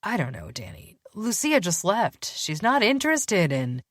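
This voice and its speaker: A woman voicing a guarded male vampire police detective, with flat, clipped and reluctant lines. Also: medium pitch, measured pace, no room sound, studio quality. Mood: weary.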